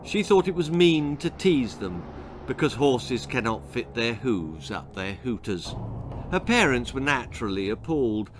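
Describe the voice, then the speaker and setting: A male storyteller with a mid-pitched, highly animated voice narrates for children. He is theatrical and comic, leaning on words and pausing for effect. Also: faint music behind. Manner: dramatic, comic, emphatic with pauses. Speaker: author reading their own story.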